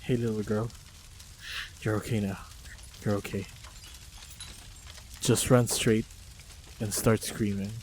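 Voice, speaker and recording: A man with a fairly low voice plays a calm, protective hunter in an audio roleplay. His delivery is slow and composed, with little melodic movement. Faint ambience runs behind him. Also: background noise. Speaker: voice actor playing a monster hunter. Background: faint sound-effect ambience.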